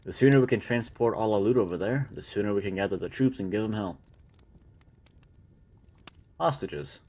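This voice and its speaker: A man's voice: medium pitch, a little mellow, lightly acted. A man playing a weary former doctor surviving a zombie apocalypse, talking in a reflective, conversational way to a trusted partner in an intimate audio roleplay. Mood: matter-of-fact planning. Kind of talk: intimate audio roleplay, one-sided dialogue.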